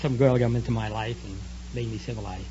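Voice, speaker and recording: A polite man with a medium-pitched voice, speaking as a quiz contestant. He answers haltingly and repeats himself. The sound is a muffled, noisy 1950s broadcast. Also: steady tone, dark tone. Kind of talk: casual answers to a host's questions. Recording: dull, noisy 1950s radio broadcast recording.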